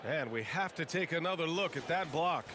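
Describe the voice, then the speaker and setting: A man calling a basketball game play by play, getting excited on the big plays, over loud music. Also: fairly high pitch, moderate pace, heavy background noise, natural voice. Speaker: play-by-play commentator. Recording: loud music behind, bouncing ball.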